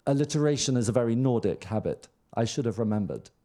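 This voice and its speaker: A man's voice: medium pitch, lively intonation, fast talker, warm tone, close to an everyday voice. A man voicing a vain, self-assured, burly young emigrant in a novel reading, speaking tersely.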